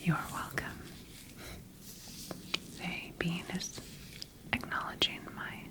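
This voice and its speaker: A woman playing a calm, attentive scientist in a sci-fi ASMR roleplay, speaking in a gentle, measured, clinical manner with pauses between phrases. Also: lightly acted. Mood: clinical, admiring. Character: calm, attentive female scientist studying her bioengineered creation.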